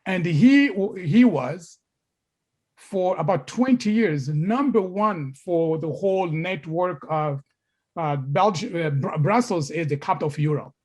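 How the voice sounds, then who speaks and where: A man's voice: high-pitched, a little slow, a little mellow. A middle-aged man from Congo giving a lecture as a story, repeating words and restarting sentences.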